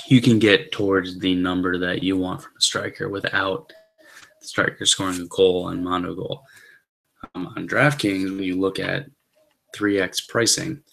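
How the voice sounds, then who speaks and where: A man in his thirties talks through his picks in a low, flat voice. He is slightly hoarse and keeps clearing his throat, rambling with fillers and restarts. He is recorded on a webcam in a small room. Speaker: fantasy football analyst. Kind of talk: casual solo analysis. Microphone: webcam at desk.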